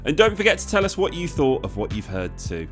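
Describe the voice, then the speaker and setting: A man reads the closing notes of a podcast in an upbeat, announcer-like way. His pitch moves up and down a lot through each line. Quiet music sits under him. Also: big pitch swings, fairly quick. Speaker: podcast producer and host. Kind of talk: scripted podcast outro.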